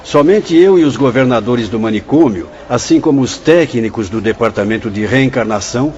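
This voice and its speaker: A man's voice: medium pitch, animated, normal speed, darkish tone, close to an everyday voice. An actor in a Brazilian radio drama playing a grave, fatherly but stern spirit director, speaking formally with a Brazilian accent. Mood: grave, instructive. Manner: solemn, declamatory, formal. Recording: dull lo-fi audio with music behind.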